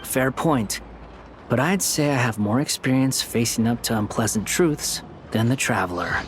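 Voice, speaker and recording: A man's voice: medium pitch, expressive, moderate pace, slight background noise, natural voice, rough recording. Game wanderer played by a man: young, sardonic, bluntly wise, medium register, faint ambience behind. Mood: earnest. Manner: blunt, dry, reflective. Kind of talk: dramatic video game dialogue.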